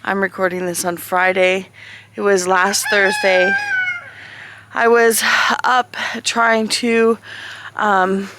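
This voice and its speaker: A woman homesteader chatting casually in a vlog outdoors on a cold farm, rambling, her voice a little hoarse. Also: medium pitch, fairly bright tone, a little hiss or hum. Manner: chatty, rambling, talks to animals. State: slightly hoarse.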